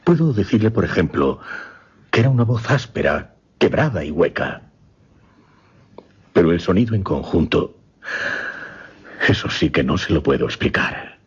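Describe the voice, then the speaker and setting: An actor playing a shaken gentleman recounting a supernatural horror in a radio play, grave, formal and halting. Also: low-pitched, very animated, breathy edge, heavily acted. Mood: grave dread. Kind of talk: suspense radio theatre acting. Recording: dull, muffled radio recording.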